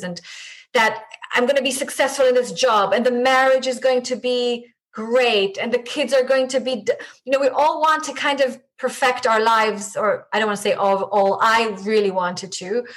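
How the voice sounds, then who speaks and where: A woman with an Israeli accent whose first language is Hebrew talks through her thoughts slowly, restarting phrases as she goes. Her voice sits a little high. She is a coach speaking as a podcast guest. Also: low-quality recording. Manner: reflective, halting with many restarts. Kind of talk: podcast interview conversation.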